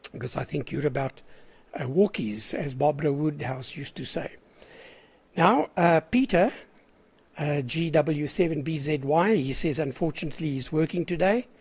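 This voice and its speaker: Older South African man running a ham radio net, husky cold-roughened voice, a bit high, rambling and clearing his throat. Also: moderate pace. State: hoarse with a cold. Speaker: net controller of an amateur radio net. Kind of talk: casual on-air net chat.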